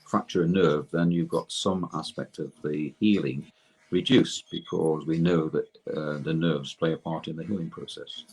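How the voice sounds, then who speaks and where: An older man explaining technical matters as an interview guest, in a halting way with repeated words. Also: a bass voice, fairly even intonation, darkish tone, slightly rough, rough recording. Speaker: guest expert and researcher in electrotherapy.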